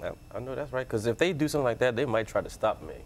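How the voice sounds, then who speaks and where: Animated teasing man hosting a panel. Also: medium pitch, racing, slight background noise. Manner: teasing, animated, laughs often. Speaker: panel show host. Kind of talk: joking studio panel chat. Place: TV studio.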